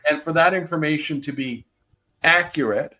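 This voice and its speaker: A man's voice: on the high side, normal speed, bright, forceful tone. A man hosting a podcast, asking questions in a casual, conversational way. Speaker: podcast host.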